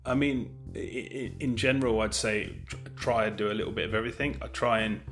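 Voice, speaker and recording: A man's voice: lowish voice, fairly even intonation, a little fast, fairly bright tone, soft background music. A man talking casually as an interview guest, rambling a little as he thinks aloud. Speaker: interview guest, audio drama producer.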